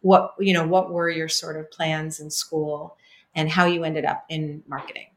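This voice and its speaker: Lower-voiced woman host, chatty. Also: flat delivery, moderate pace. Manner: chatty, rambling, many fillers. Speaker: podcast host. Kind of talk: casual interview chat.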